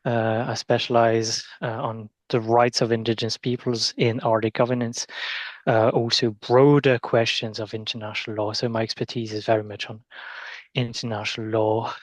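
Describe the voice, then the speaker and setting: A man from Belgium, an international lawyer, speaking on a panel over a video call in a halting way with many restarts. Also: medium pitch, level tone, no echo. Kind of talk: webinar panel introduction.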